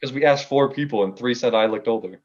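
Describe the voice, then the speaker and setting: A man's voice: mid-range voice, fast, dull audio, a crowd in the background. A nineteen-year-old man with an American accent, telling a story casually as a podcast guest and playfully arguing his point. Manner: playfully argumentative. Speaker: podcast guest, music artist.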